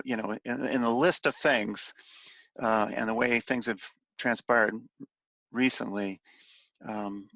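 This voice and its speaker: A man giving an informal slide briefing as a policy advocate on a conference call, speaking haltingly and letting phrases trail off. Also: fairly low pitch, creaky, fairly bright tone. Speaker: industry association policy advocate briefing on legislation. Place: conference call, roomy indoor space. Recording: muffled, roomy conference-call audio.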